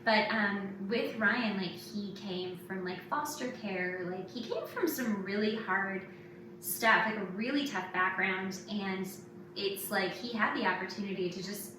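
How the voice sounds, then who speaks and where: A woman's voice: mid-range voice, unhurried. Woman podcasting in a very echoey room. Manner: informal, filler-heavy, conversational. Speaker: podcast host and author. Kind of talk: casual podcast chat. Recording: very echoey, distant mic, clearly noisy.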